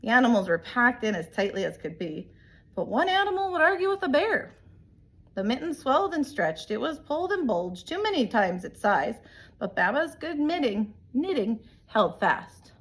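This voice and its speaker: Lively, higher-pitched woman reading a storybook. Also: moderate pace. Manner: animated, emphatic storytelling for children. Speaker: teacher reading aloud to young children. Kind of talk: expressive storybook read-aloud.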